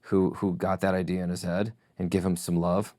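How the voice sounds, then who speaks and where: Low-voiced reflective Russian-born man. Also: little pitch movement, dark tone, dry recording, studio quality. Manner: reflective, measured storytelling. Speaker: podcast guest. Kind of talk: casual interview chat.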